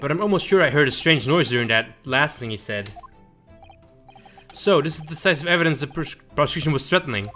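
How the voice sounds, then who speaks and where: A man's voice: on the high side, lively intonation, a little fast, pushed and bright, faint music behind. A man streaming a game, reading visual novel dialogue aloud.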